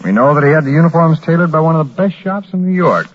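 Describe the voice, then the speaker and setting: A man's voice: on the high side, expressive, fast. A man playing a keen, methodical FBI agent with a businesslike, wry manner in a vintage radio drama. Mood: keen, animated. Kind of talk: 1940s-50s radio crime drama acting. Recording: old dull lo-fi radio recording.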